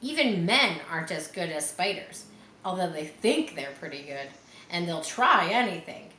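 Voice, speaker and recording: A woman's voice: lowish voice, very animated, normal speed, sharp tone, voice pushed down. A woman voicing a wise, patient female spider from a storybook, frank and kindly instructive. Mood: frank, consoling. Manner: instructive, kindly matter-of-fact. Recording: roomy echo, faint background noise.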